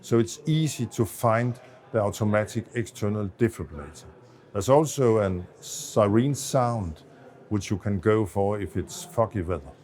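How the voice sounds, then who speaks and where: Low-voiced Danish man explaining. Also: animated, normal speed, a little mellow. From Denmark. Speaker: associate professor of medicine, expert interviewee. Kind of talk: explanatory interview answers on a medical project.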